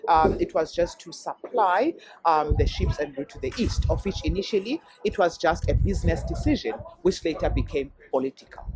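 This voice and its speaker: A man's voice: on the high side, big pitch swings, moderate pace. A man, a guide answering interview questions with many ums and restarts. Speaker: castle guide. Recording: noisy recording.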